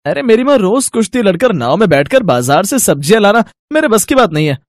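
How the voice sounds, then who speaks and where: A man's voice: sits high, very animated, fairly quick, dry recording, studio quality. An actor playing a weary but reassuring family man in a cartoon story, explaining with mild exasperation. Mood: exasperated. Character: weary, reassuring husband and son. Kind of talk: cartoon moral story acting.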